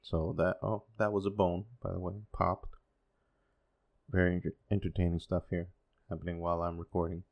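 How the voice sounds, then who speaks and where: A man's voice: low-pitched, level tone, moderate pace, gravelly. A man in his forties whose first language is Spanish, rambling through a solo podcast with wry, sarcastic asides. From Dominican Republic. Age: 40s. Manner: self-deprecating, wry and sarcastic, with many fillers. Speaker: podcast host.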